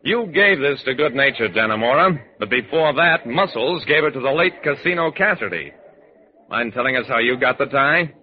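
An actor playing a wry, commanding hard-boiled detective, narrating deadpan in a 1940s-style radio drama. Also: male voice, medium pitch, normal speed, natural voice. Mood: firm. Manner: deadpan, wisecracking. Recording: dull old radio recording.

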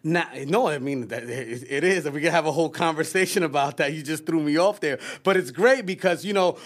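A man's voice: on the high side, brisk. A man hosting a casual podcast interview, wordy and often repeating phrases as he talks. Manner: wordy, repeats phrases while forming questions. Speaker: podcast host.

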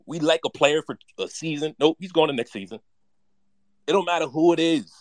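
A man chatting excitedly about his team in a slangy, repetitive way. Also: on the high side, brisk, no echo. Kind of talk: casual fan chat.

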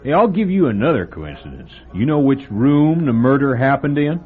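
Gossipy rural diner owner, lively delivery, tinny old broadcast. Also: male voice, mid-range voice, moderate pace, husky. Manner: folksy, relishing a gruesome story. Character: chatty small-town male diner owner, gossipy. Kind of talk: old-time radio drama acting. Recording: phone-like old radio broadcast with faint music.

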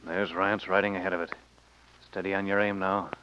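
Tense bossy american cowboy outlaw, lowish voice, muffled noisy old radio. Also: male voice, flat delivery, a little fast, pushed and bright. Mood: tense, businesslike. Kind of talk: old-time radio western acting.

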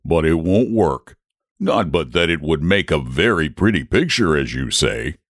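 A man's voice: a bass voice, lively intonation, unhurried, dry recording, clean studio sound, exaggerated voice. A man voicing a big, genteel, old-fashioned storybook dragon with a drawling, testy, fussily polite manner. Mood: wavering. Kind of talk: storybook character voice.

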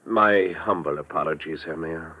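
An actor playing a formal, deferential, easily flustered European police chief in a 1950s radio drama. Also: male voice, very deep voice, normal speed, sharp tone, exaggerated voice. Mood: indignant, then apologetic. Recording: dull old radio recording.

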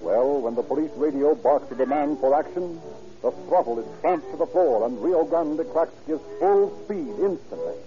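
A man's voice: on the high side, moderate pace, dark tone, soft background music. A man announcing in 1930s radio style, selling with an emphatic, formal delivery. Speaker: announcer and sponsor pitchman. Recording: dull vintage radio recording.

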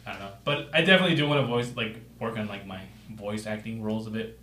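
A man talks fast in a loose podcast chat, hedging and playing himself down with the odd curse. His voice sits low. The room is echoey, with a faint hum behind him. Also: pushed and bright. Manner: hesitant, self-deprecating, swears casually. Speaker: podcast co-host. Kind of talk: casual chat.

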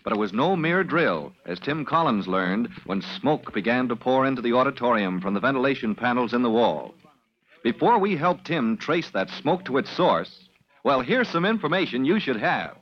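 A man's voice: mid-range voice, very animated, moderate pace. A man narrating an old radio drama in a dramatic, declamatory announcer style. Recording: dull old radio with music.

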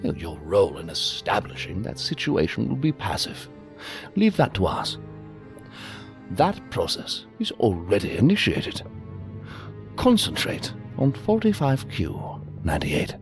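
An actor playing a cold, scheming superior who gives covert orders in a formal, measured, euphemistic way in an audio drama. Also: male voice, lowish voice, wildly swooping pitch, full character voice. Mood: smooth, calculating. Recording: music under the voice.

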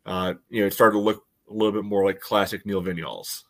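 A man co-hosting a podcast, giving casual sports analysis in a halting way full of verbal filler. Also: lowish voice, fairly even intonation, fairly bright tone. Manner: halting, peppered with "you know". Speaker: podcast co-host.

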